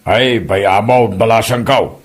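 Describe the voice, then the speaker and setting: A man's voice: lowish voice, steady tone, measured pace. A man playing a disapproving neighbour in a comedy drama, speaking with an Ilocano accent. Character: male neighbour hearing out village complaints, disapproving.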